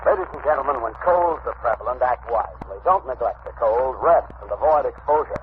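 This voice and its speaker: American radio announcer, tinny vintage broadcast. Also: male voice, medium pitch, animated, a little fast, noisy background. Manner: polished, emphatic, formal. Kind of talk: 1940s radio commercial and announcing.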